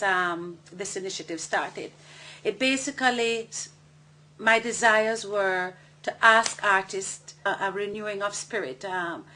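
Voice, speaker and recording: A woman born in Jamaica who speaks thoughtfully about her art initiative, pausing and restarting as she goes. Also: mid-range voice, bright, forceful tone. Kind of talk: reflective interview talk.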